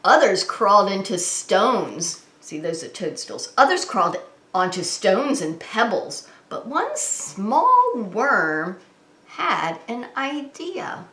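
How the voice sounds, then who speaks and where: A woman's voice: mid-range voice, sing-song, takes it slowly, pushed and bright. A woman hosting story time as a preschool teacher, talking simply and expressively to small children with frequent questions. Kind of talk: children's read-aloud and singalong. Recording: roomy, echoey room sound.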